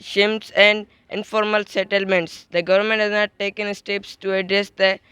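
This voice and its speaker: Monotone man reading a statement. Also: sits high, normal speed, clear, resonant tone, dry recording. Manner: flat, steady reading. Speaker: debate participant.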